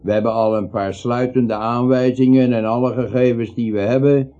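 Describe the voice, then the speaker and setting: A man's voice: mid-range voice, steady tone, moderate pace, dark tone, lightly acted. An actor in a Dutch radio play, playing a brusque, self-assured policeman with an assertive, matter-of-fact delivery. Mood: confident, irritated. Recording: dull, muffled radio play recording.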